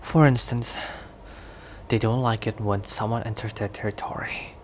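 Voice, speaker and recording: A man playing a cocky, protective young werewolf stranger in an intimate ASMR roleplay, talking in a teasing, halting conversational way. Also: fairly low pitch, steady tone, noisy background, lightly acted. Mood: cautioning, earnest. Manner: teasing, breathy sighs and chuckles, halting conversational delivery. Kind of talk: ASMR roleplay acting, intimate one-sided dialogue.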